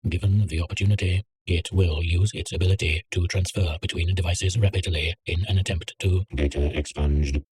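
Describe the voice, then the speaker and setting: A man with a very deep voice reads a classified file in a measured, clinical tone. Every line comes out steady and even. A faint hiss runs under the recording. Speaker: narrator. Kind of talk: scripted reading of a containment file.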